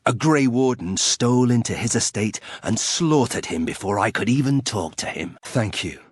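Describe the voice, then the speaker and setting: A man's voice: mid-range voice, lively intonation, normal speed, husky, dry recording. An actor playing a proud, brooding young nobleman turned reluctant warrior in a fantasy video game, formal, terse and bitter. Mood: bitter, resentful.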